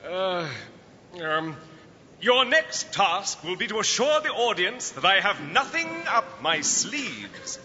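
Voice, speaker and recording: Grandiose, high-pitched stage magician. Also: male voice, very animated, slow pace, a little hiss or hum, heavily acted. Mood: uneasy showmanship. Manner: grandiose, theatrical patter. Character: showy, self-important male stage magician. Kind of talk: animated cartoon acting. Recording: dull lo-fi, long reverb, loud music.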